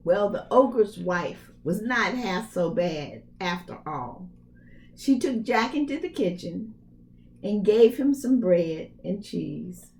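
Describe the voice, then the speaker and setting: A woman's voice: mid-range voice, lively intonation, takes it slowly, vocal fry, a little mellow. A woman reading a storybook aloud to young children expressively, with casual asides and emphatic phrasing. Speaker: preschool read-aloud storyteller.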